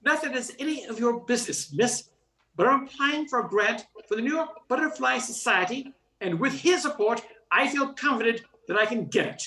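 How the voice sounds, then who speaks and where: A woman's voice: fairly high pitch, lively intonation, normal speed, sharp tone. An older woman playing a brusque, easily flustered young male professor in an amateur radio play, with a stiff, indignant manner. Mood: brisk, insistent. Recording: roomy, echoing sound.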